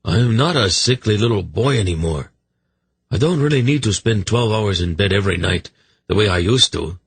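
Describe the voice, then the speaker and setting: A man's voice: on the low side, lively intonation, moderate pace, husky, no echo, voice pushed up. A man voicing a sardonic, plaintive young king with a mocking, theatrical manner in a fantasy audiobook.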